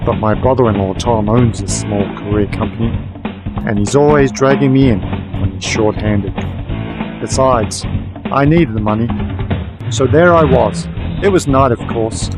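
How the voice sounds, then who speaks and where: A man acts as a werewolf private eye with a mid-pitched male voice, telling his story over music. He is dry, sardonic and world-weary, in the manner of noir radio drama. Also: normal speed, lightly acted, rough recording. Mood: wry, weary. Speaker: narrator and lead. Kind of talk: first-person noir radio drama narration.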